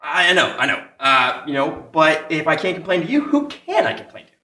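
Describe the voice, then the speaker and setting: A man's voice: fairly high pitch, sing-song, fairly quick, pushed and bright. A man announcing a live radio-style comedy show as the hesitant, polite straight man, often stammering. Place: comic shop, live before audience. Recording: echoey live room recording.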